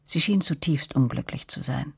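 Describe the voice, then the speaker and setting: Serious lady narrator for radio drama, really low husky voice, dry sound, measured storytelling, looking back on girlhood. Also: a bit bright, no room sound, full character voice. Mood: measured, reflective. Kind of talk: literary first-person narration in radio drama.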